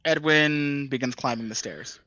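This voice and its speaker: A man at an average pitch runs a tabletop game as dungeon master, stumbling through descriptions with fillers and restarts. The audio is a bit dull and lo-fi, like a voice call. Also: sharp tone, no room sound. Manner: halting, full of fillers and restarts.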